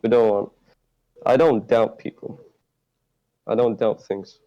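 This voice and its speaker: A man answering as a guest on a roleplay talk show, speaking haltingly and searching for words. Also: fairly low pitch, fairly even intonation. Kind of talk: in-character roleplay interview. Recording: muffled lo-fi mic.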